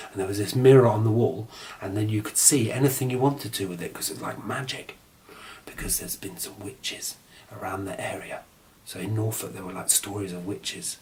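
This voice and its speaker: A man's voice: on the low side, slight background noise. A man tells a children's story in an informal, improvised way. He chats along casually and drifts into offhand asides as he goes. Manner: chatty, digressive, mock-casual asides.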